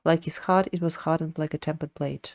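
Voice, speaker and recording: A woman's voice: low voice, fairly even intonation, brisk, darkish tone. A woman streamer giving live commentary on a video game and its lore. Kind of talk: live gameplay commentary with lore read aloud. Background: game music.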